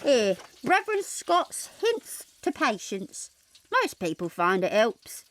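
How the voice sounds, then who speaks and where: A Georgian hospital nurse in a radio drama. She speaks slowly in a fairly high voice, coaxing and offhand, as if every treatment were routine. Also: sing-song. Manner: matter-of-fact, coaxing. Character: brisk, offhand 18th-century hospital nurse, falsely reassuring. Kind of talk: audio drama dialogue.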